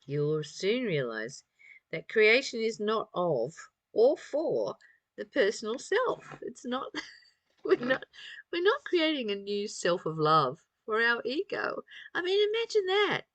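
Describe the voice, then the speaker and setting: Female spiritual reader and teacher, unhurried and reflective, mid pitch, close dry sound. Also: big pitch swings, a bit bright, no room sound. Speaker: spiritual study teacher reading aloud and commenting. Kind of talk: reflective spiritual reading with informal commentary.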